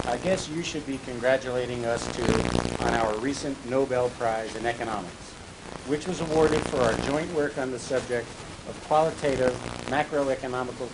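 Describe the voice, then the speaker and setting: Guy reading a joke telegram out loud, mock formal, mid pitch, really noisy home video. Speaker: party guest reading birthday telegrams. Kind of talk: reading a comic letter aloud to a party. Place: house party in a home. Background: loud background noise, clicks.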